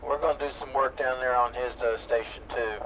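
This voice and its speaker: A man in his sixties, a ham radio operator talking in a relaxed, unhurried, teasing way. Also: medium pitch. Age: 60s. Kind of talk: radio net chat. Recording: tinny two-way radio with clear hiss.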